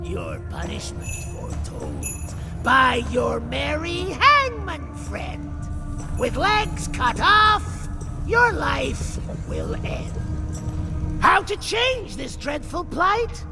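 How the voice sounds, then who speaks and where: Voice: sits high, wildly swooping pitch, extremely slow, music in the background, heavily acted. An actor voicing a mad, gleeful jester-like spirit chanting rhymes in theatrical singsong for a video game. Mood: gleeful menace. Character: mad cackling jester-like spirit reciting a rhyming curse.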